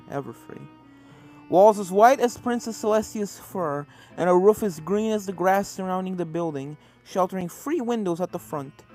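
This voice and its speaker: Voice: mid-range voice, darkish tone. A storyteller reading scripted fiction aloud as a narrator, slipping into character voices for the dialogue. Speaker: fan fiction reader, narrator. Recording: game music under the voice. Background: video game soundtrack music.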